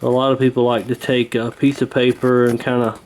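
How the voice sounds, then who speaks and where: A man giving a casual hands-on repair walkthrough, talking in an unhurried, folksy way while he works. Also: mid-range voice, little pitch movement, darkish tone, slight background noise. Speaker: host of a radio repair channel.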